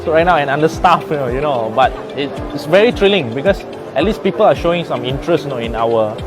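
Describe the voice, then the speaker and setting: Higher-voiced man over music. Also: animated, fast talker. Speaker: exhibitor. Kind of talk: testimonial interview.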